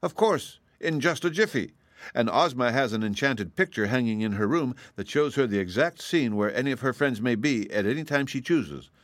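A man's voice: medium pitch, lively intonation, moderate pace, no echo, voice pushed up, close to an everyday voice. A man voicing a brave, cheerful little girl adventurer who explains things in a confident, matter-of-fact way for a storybook. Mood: brightly explaining.

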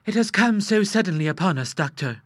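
A woman's voice: on the low side, expressive, brisk, dry recording. A woman voicing a tearful elderly sailor's wife, sobbing, in a storybook reading. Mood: grieving.

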